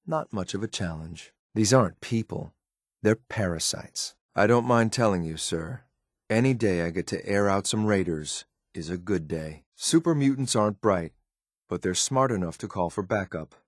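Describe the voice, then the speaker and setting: A man's voice: on the low side, moderate pace, dry recording, studio quality, natural voice. A man voicing a stern, dutiful synth soldier companion in a video game, speaking in a clipped, matter-of-fact military way with some disdain. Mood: contemptuous.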